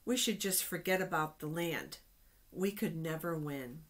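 A woman's voice: deep voice, a little slow. Woman reading as fearful, doubting scouts. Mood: awed, defeated. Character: fearful, doubting ancient scouts reporting back.

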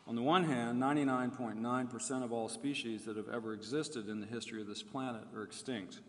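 A man delivers an academic keynote in a formal, measured manner, reading from a prepared text. His voice sits at a medium pitch. Long reverb from the hall trails his words. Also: fairly even intonation, a bit bright. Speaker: keynote speaker. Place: large indoor room at a conference.

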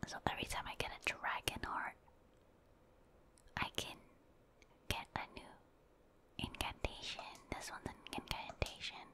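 A woman doing ASMR commentary over a video game playthrough, whispering softly and musing aloud in an unhurried way. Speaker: gaming streamer.

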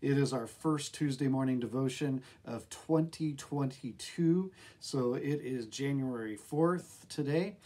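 A man's voice: medium pitch, dark tone. A middle-aged man with an American accent, speaking as a pastor in a devotional talk to camera. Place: small office with bookshelves, indoors.

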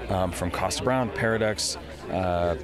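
A man in his forties, a winery executive, interviewed outdoors, halting with many filler sounds. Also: deep voice, crowd noise. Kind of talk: on-camera interview. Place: outdoors on a lawn.

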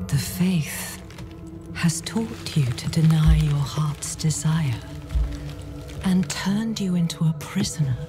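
A woman playing a seductive, commanding demon mother in a video game cutscene, speaking solemnly and often in a hushed whisper. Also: sits low, expressive. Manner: slow, solemn, hushed, breathy delivery in places. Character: seductive, commanding demon mother preaching freedom through sin. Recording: music behind the voice.

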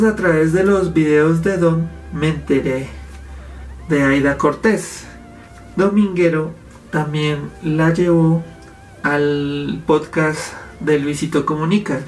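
Gesturing man, home commentary. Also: fairly high pitch. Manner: explanatory, gesturing with his hands. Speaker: YouTube host. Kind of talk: casual commentary to camera. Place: home office indoors. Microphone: fixed camera, medium framing.

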